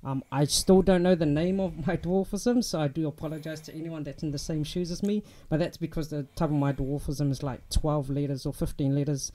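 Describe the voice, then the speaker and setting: Young kiwi guy telling his story in an interview, mellow warm tone, fairly high voice, lots of ums. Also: a little fast, hardly any pauses. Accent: New Zealand. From New Zealand. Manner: relaxed, chatty, many ums and restarts. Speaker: guest. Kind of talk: casual interview chat.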